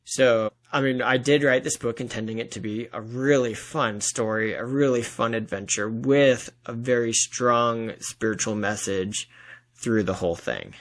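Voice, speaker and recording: A man's voice: mid-range voice, unhurried. A man speaking as a guest author in a casual interview, with an earnest, enthusiastic and informal manner.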